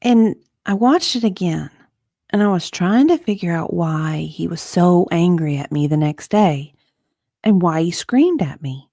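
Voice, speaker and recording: A woman playing a hesitant, forgetful witness in a dramatic reading of a legal deposition, halting and often trailing off. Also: fairly low pitch, animated, dark tone, dry recording, studio quality. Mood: puzzled, defensive.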